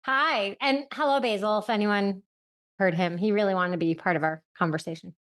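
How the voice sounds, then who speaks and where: A woman's voice: medium pitch, fairly quick, a bit bright. A woman co-hosting a podcast, chatting playfully and steering the talk back on track. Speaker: podcast co-host. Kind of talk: casual podcast banter.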